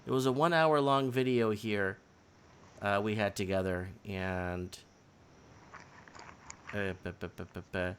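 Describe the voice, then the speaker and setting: A man's voice: lowish voice, fairly even intonation, a little slow, long pauses, a bit bright, a little hiss or hum. A man hosting a casual live chat, speaking informally and directly to his viewers. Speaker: livestream host.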